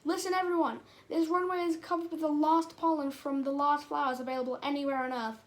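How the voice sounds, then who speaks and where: A brave little male honeybee with a high voice, acted out by a woman reading a story. He is excitable and bossy, urging everyone on with emphatic commands. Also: a little fast, lightly acted. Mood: rousing.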